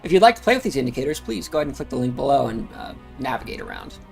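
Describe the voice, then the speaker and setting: A man's voice: medium pitch, wildly swooping pitch, rapid-fire, creaky, darkish tone. A man casually talking through crypto charts on a livestream, rambling with frequent fillers. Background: music playing.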